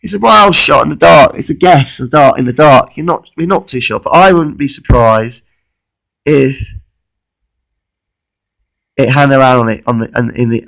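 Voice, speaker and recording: A man of thirty-one, losing his voice with a cold, gives an informal, halting weather forecast commentary and often repeats himself. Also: mid-range voice, vocal fry, a bit bright, poor audio. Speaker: amateur weather vlogger.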